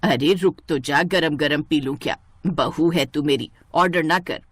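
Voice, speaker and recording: Woman acting middle-aged mother-in-law, cartoon moral story, bossy, nagging, sharp-tongued, pitched down. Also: measured pace, breathy edge, no echo.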